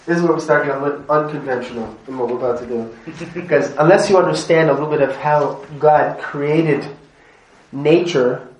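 A man's voice: fairly high pitch, moderate pace. A man from Montreal teaching an informal class, chatty and quizzing his students. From Montreal, Canada. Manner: chatty, asks the group questions, laughs often. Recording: echoey room, mic at a distance, faint noise.

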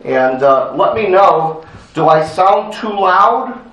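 A man's voice: sits high, expressive, muffled recording, noisy background. A man from Chicago with a Chicago accent, giving a chatty public lecture full of fillers and jokes at his own expense. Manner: chatty, self-joking, halting with many fillers. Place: crowded lecture room. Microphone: amplification mic, far from recorder. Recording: echoey room from far off.

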